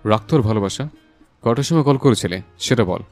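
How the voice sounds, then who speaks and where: A man's voice: medium pitch, lively intonation, a little fast, darkish tone, close to an everyday voice. A man playing a passionate, protective young husband in a dramatised romantic audio story, speaking with emphatic feeling. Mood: furious, scolding. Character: hot-tempered young husband, fiercely protective, tender with his wife. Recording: voice over a music bed.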